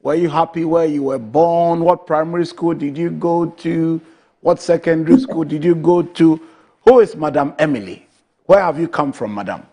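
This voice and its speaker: A man's voice: on the high side, moderate pace, dark tone. A man with a Ghanaian English accent hosting a TV interview, asking questions in a formal, emphatic manner. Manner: formal, emphatic, addresses guest as madam. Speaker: TV show host.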